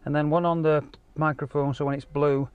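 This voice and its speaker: A man's voice: on the high side, level tone, fairly quick, dry recording, lo-fi sound. A man giving a casual, explanatory product walkthrough as a car audio installer. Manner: informal, explanatory. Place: outdoor car park and inside car. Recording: clear ambient noise behind handheld camera.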